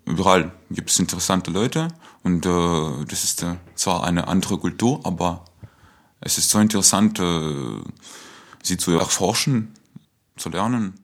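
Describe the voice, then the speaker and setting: A man, speaking earnestly and halting as he searches for words. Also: sits low. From Russia. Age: 20s. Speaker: interviewee. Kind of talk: documentary interview.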